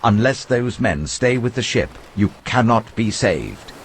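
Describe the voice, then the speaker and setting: Casting: commanding, earnest male apostle played by a male reader, deep voice, animated and urgent oratory for scripture audio. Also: unhurried, slight background noise. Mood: urgent warning. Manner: urgent, emphatic, reassuring oratory.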